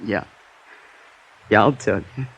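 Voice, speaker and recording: A man's voice: lowish voice, slow pace, slightly rough, highs cut off. An actor playing a nervous, guilt-ridden young fisherman, stammering and pleading in 1950s radio drama style. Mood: resigned, fearful.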